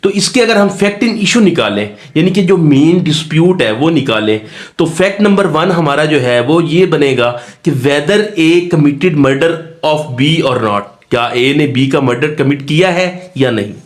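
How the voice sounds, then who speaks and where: Higher-voiced man lecturing. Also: expressive, unhurried. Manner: didactic, repeats and rephrases key points. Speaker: law lecturer. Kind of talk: exam-focused lecture mixing Urdu-Hindi and English terms.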